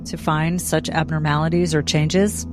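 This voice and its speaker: A woman's voice: low voice, level tone, normal speed, over music, close to an everyday voice. A woman playing a polite, reserved and careful character in an acted space drama. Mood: concerned, probing.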